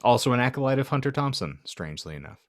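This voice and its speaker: A man's voice: mid-range voice, very animated, quick pace. A man co-hosting a podcast in casual book chat, talking in a wordy, wry way with theatrical turns of phrase. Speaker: podcast co-host.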